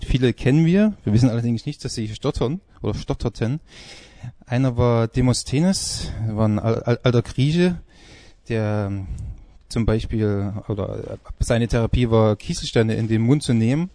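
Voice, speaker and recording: Male radio presenter, mid pitch, soft dark tone, halting informal delivery, very dry close sound. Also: no echo. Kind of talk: informal spoken presentation on a music radio show.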